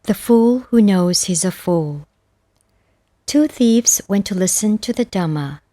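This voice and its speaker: A woman reading scripted spiritual stories and verses as a narrator, in a measured, solemn manner. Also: medium pitch, lively intonation, warm tone, no room sound. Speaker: audiobook narrator.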